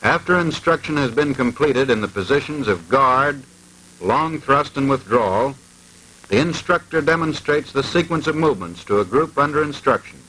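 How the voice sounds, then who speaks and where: A man's voice: medium pitch, lively intonation, moderate pace, sharp tone, slightly rough, rough recording. A man reading formal, precise scripted narration in the manner of a 1940s military instructional film.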